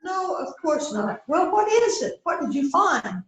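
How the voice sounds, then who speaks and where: A woman's voice: extremely high pitch, sing-song, measured pace, heavily acted. A woman playing a polite, prim and coolly unrepentant murderous hostess in an amateur mystery reading. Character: polite, coolly unrepentant wife hosting a dinner party, a murderer. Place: indoors on a virtual event call. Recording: very echoey, dull video call audio.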